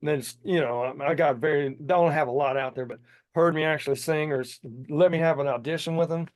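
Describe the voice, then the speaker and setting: Fifties guy chatting eagerly, kinda high voice, talks in loops. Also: fast, dry recording, clean studio sound. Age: 50s. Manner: enthusiastic, rambling with restarts. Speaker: podcast guest, singer. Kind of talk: casual chat.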